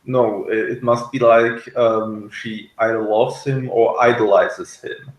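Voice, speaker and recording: A man in a casual discussion of a TV series, speaking haltingly as he searches for words. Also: medium pitch, steady tone. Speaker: reviewer on a discussion show. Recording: echoey room sound.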